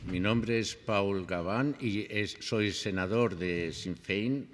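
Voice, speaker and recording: A man working as an interpreter, rendering a speaker's words with steady, careful phrasing. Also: fairly low pitch, slow, long reverb tail, slight background noise. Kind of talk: consecutive interpreting.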